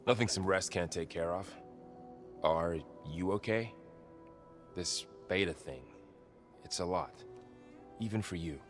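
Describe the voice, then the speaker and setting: Game companion: easygoing young male soldier, deep voice, gentle and casual. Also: measured pace, long pauses, faint music behind. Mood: caring, quiet. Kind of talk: video game cutscene acting.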